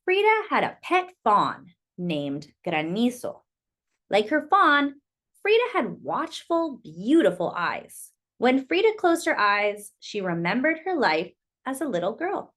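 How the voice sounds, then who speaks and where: A woman's voice: fairly high pitch, sing-song, a little slow, a bit bright. A woman reading a picture book aloud to young children, expressively and with little asides to her listeners.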